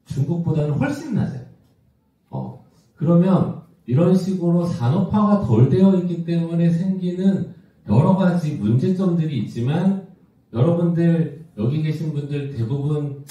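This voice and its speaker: A man's voice: on the high side, warm tone. A man of about fifty from South Korea giving a business presentation in a conversational way, often putting questions to his listeners. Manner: conversational, asks the audience rhetorical questions. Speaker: company executive presenting. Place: large indoor event room with audience. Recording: muffled, echoey hall, distant from mic.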